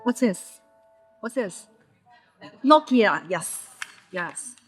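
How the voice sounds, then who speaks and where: A woman in her thirties from mainland China, a Chinese speaker with a Chinese accent touched by Scouse, giving a conference talk in measured short phrases. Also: medium pitch, expressive, faint music behind. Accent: Chinese with a Liverpool (Scouse) tinge. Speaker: conference presenter. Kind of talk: personal storytelling talk.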